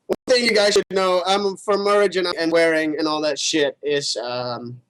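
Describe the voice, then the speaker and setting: A man's voice: a little high, fairly even intonation, normal speed, fairly bright tone, smooth, rough recording. A man co-hosting a casual gaming show, rambling loosely with crude, teasing swearing. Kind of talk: casual chat.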